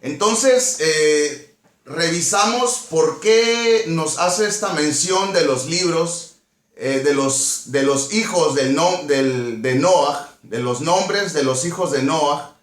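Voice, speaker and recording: A Peruvian man with a slightly high voice, recorded in an echoey room. He teaches scripture in a didactic way, reading verses and then explaining them. He often repeats his phrases and puts questions to his listeners. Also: takes it slowly. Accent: Peruvian Spanish. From Peru. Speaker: Bible teacher.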